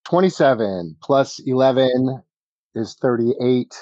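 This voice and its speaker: A man running a tabletop game as game master, narrating casually in a halting, think-as-he-goes way. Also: medium pitch, mellow, no echo. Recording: dull lo-fi audio, music underneath. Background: background music.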